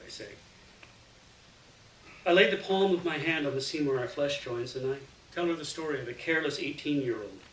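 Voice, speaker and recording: Bright-voiced man reading fiction, roomy and distant. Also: mid-range voice. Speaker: story reader. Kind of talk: live literary fiction reading, first-person narration. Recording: roomy, distant mic, faint hiss.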